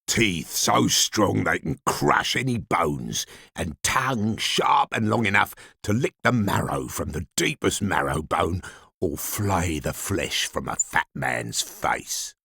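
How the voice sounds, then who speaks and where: Sinister gravelly man, very low. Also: lively intonation, sharp tone, dry recording, studio quality, full character voice. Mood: grisly relish. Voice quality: gravelly, rasping. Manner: slow, menacing. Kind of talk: audiobook drama acting.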